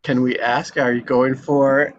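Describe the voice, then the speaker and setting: A man's voice: medium pitch, little pitch movement, a little slow, crowd noise. A man hosting a casual online group chat in an effusive, encouraging way. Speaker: host of an online table read show. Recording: muffled video call audio, clear noise, clicks.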